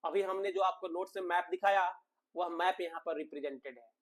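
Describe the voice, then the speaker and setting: A man's voice: high-pitched, steady tone, a little fast. A man with an Indian accent teaching an exam coaching lesson, explaining emphatically, repeating points and asking rhetorical questions.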